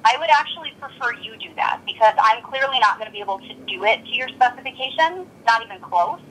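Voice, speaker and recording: Exasperated higher-pitched woman on the phone. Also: animated, fast talker, bright, forceful tone, breathy edge. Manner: blunt, exasperated, swearing. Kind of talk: tense phone argument. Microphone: telephone. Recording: recorded telephone call.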